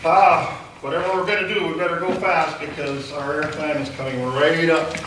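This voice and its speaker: A man's voice: a little high, steady tone, moderate pace. A man organizing a recording session in an offhand, easygoing way. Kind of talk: casual backstage talk. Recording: distant mic, very echoey room, background noise.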